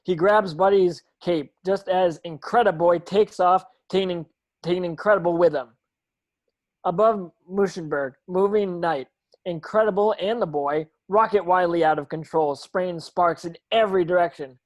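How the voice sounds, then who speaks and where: A man's voice: sits high, normal speed. A man narrating stage directions from a script at a table read, sometimes tripping over words.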